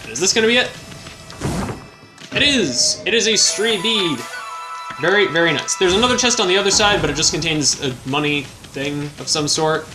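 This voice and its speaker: A man's voice: a little high, lively intonation, normal speed. A young man casually commenting on his video game playthrough, chatty and self-deprecating, with bouncy exclamations. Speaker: let's play host. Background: game music.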